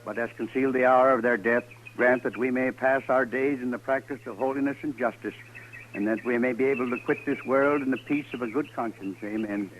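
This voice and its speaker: A man acting a solemn frontier preacher in a 1950s radio western, speaking in a measured, prayerful way. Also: medium pitch, steady tone, close to an everyday voice. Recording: dull, faintly noisy old radio recording.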